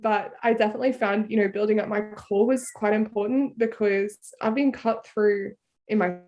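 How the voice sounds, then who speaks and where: A woman's voice: medium pitch, fairly even intonation, normal speed, mellow. An Australian woman talking casually as a podcast guest over a video call, full of "like" fillers and easy laughter. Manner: chatty, frequent "like" fillers, laughs easily. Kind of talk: casual conversational interview.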